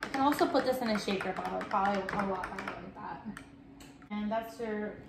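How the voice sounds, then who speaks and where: A woman's voice: medium pitch, brisk. A woman in her thirties chatting upbeat through a step-by-step cooking demo in her home kitchen, talking to a camera set at table distance. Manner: chatty and upbeat, explaining as she works. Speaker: cooking vlogger. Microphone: fixed camera at table distance. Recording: echoey kitchen with faint noise.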